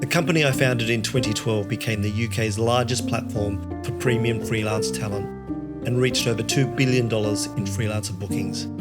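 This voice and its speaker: Man with a low, polished narration over music. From India. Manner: measured, polished delivery. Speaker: podcast host. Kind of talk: scripted voice-over intro.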